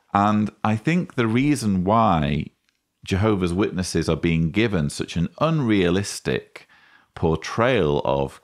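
Man in his forties, deep voice, unhurried delivery, wry commentary, close studio mic. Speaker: host. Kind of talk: commentary, rebuttal to camera. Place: indoor study lined with bookshelves. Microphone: studio microphone on boom arm, close.

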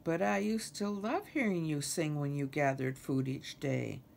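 A woman's voice: low-pitched, a little slow, vocal fry, a little mellow, slight background noise. A woman voicing an earnest male frog who worries about his home, for a children's storybook. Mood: dismayed.

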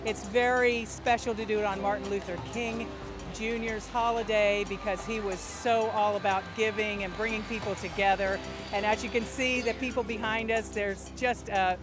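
A woman's voice: medium pitch, fairly even intonation, normal speed. A middle-aged woman volunteer speaking earnestly and thoughtfully at an outdoor build site. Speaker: county volunteer. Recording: music behind.

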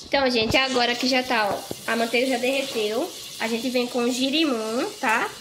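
A woman's voice: fairly high pitch, fairly even intonation, normal speed, background noise. A woman chattily walking through a home recipe in her kitchen, often checking in with the viewers. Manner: chatty, tags phrases with "tá?" and "gente". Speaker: home cook vlogger. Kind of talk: casual cooking narration.

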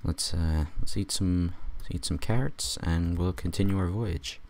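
A man just out of bed with a raspy voice, rambling through a casual let's-play commentary with wry jokes. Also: low-pitched, measured pace, a little mellow. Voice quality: raspy, gravelly. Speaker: gamer doing a let's play.

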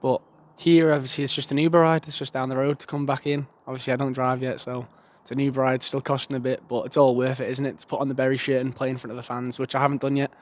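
A man's voice: mid-range voice, level tone, quick pace, few pauses. A young man giving a casual, earnest post-match interview outdoors in a stadium stand into a handheld mic. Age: young adult. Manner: casual, plain-spoken, earnest. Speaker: footballer.

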